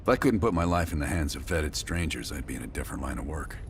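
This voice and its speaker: A cool-headed veteran covert operative from a spy game, with a rock-bottom voice full of grit. He delivers terse, dry lines in a measured way, over a faint rumble. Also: expressive. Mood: calm, confident. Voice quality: low gravelly voice. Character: gruff, calculating, cool-headed veteran male spy team leader. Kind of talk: cinematic game dialogue acting.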